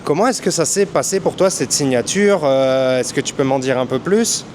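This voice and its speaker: A man's voice: mid-range voice, expressive, normal speed, darkish tone, slight background noise. A man hosting a French-language podcast interview, asking long questions with frequent fillers. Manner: rambling questions with many fillers. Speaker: podcast host. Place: boxing gym.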